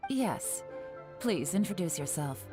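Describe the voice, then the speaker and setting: Casting: cool enigmatic female official for a game, lowish voice, brisk authority, music underneath. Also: sing-song. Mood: cool, composed. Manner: composed, brisk, commanding. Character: cool, self-assured, enigmatic woman official in charge. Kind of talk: video game character acting.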